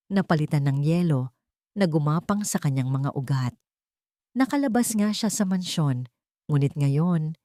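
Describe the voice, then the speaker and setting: Low-pitched woman narrating a dramatic story. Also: a little mellow, dry recording, studio quality.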